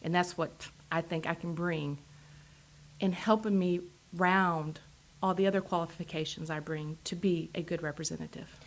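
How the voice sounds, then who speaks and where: A woman's voice: on the low side, fairly even intonation, moderate pace. A woman from Texas with a Texan accent, answering interview questions as a political candidate in an earnest way. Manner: earnest, repeats short affirmations.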